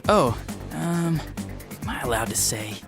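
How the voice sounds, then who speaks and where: A man voicing a chatty, bumbling young police detective in a video game dub, gossipy, informal and quick to apologize. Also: fairly high pitch, animated, gravelly. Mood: flustered, confiding. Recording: background music under the voice.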